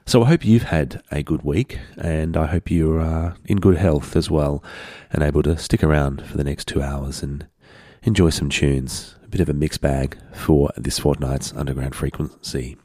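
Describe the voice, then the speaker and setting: A man hosting a community radio music show, relaxed and rambling with lots of fillers, sounding congested from a head cold. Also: a bass voice, normal speed, no echo, clean studio sound. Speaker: radio host. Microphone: studio broadcast mic.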